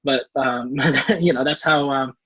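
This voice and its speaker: A man's voice: medium pitch, a little slow, fairly bright tone, no room sound, muffled recording. A man in his thirties from Canada, a portfolio manager giving an online career class, friendly and eager, with many fillers and restarts. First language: English.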